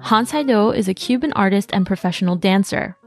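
A woman's voice: medium pitch, a little fast, a little mellow. Woman reading credits over music. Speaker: credits announcer.

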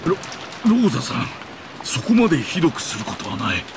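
A man playing a courteous, concerned elderly gentleman in an anime visual novel, speaking politely. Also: mid-range voice, huge pitch swings, creaky. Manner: polite, measured older speech. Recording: loud rain noise behind.

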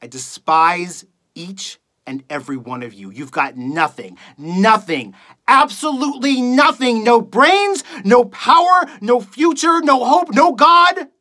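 Performer acting a bitter, forceful talk radio host. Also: expressive, measured pace, pauses often, husky. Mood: contemptuous. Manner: scornful, accusatory, building from low muttering to shouting. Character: bitter, contemptuous late-night talk radio host ranting at listeners. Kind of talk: theatrical dramatic monologue.

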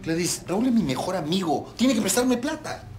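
A man's voice: sits high, big pitch swings, normal speed, a little mellow, a little hiss or hum. An actor with a Peruvian accent playing a proud, broke middle-aged man in a TV comedy serial, with a pompous, dismissive manner. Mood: indignant, entitled. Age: 40s. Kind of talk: telenovela comedy acting. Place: indoor TV set rooms. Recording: background music under dialogue.

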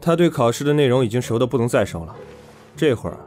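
A man playing a teasing, possessive, somewhat gruff young boyfriend in an audio drama. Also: mid-range voice, lively intonation, fast talker, a little mellow, soft background music, close to an everyday voice. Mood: relaxed. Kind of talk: Chinese audio drama acting.

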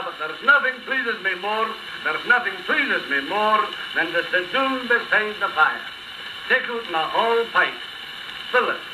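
A man playing a sprightly old Scotsman near eighty, with a Scottish accent, singing a comic song and chuckling through his patter. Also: high-pitched. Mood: boastful. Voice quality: cackling laughs, lilting sing-song patter. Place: played on a cabinet gramophone in a room. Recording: crackly 1930s gramophone record, roomy.

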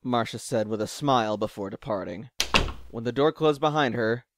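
A man's voice: medium pitch, moderate pace, very noisy. A man reading scripted third-person story narration as the narrator of an audio drama.

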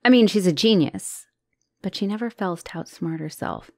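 A woman's voice: lowish voice, lively intonation, normal speed, darkish tone, no room sound. A woman voicing an anxious, self-doubting young spider-woman girlfriend in an ASMR roleplay, speaking hesitantly and emotionally and often slipping toward a whisper. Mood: wry, worried.

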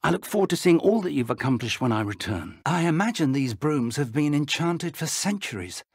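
An older man with a British accent voicing an earnest, kindly wizard mentor in a video game, speaking in a measured, formal, articulate way. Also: on the high side, very animated, husky, dry recording, clean studio sound, full character voice. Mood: measured, advising. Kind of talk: video game character dialogue.

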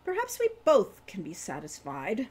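A woman voicing a scornful, aloof, non-human female shopkeeper of magic in a storybook, condescending and measured. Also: medium pitch, wildly swooping pitch, breathy edge. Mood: scornful, bored.

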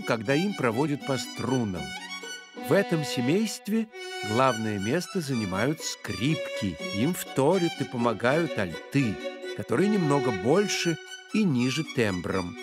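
A man voicing a courteous grasshopper teacher, explaining clearly as the guide in an educational cartoon. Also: medium pitch. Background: music.

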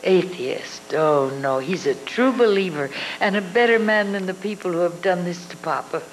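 Older lady doing a soft heartfelt daughter, lowish voice, faint hum. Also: moderate pace, lightly acted, poor audio. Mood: earnest. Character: Liz, loving, anxious older daughter. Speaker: actress in a live reader's theatre. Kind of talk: live old-time radio drama reading. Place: hotel ballroom stage. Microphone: far camera. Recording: audience video in a ballroom, hum.

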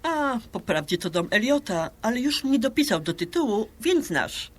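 A woman's voice: on the high side, very animated, moderate pace. An actress in a radio drama playing a brash, flirtatious Polish woman around sixty who banters and peppers her Polish with English words. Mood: breezy, boastful.

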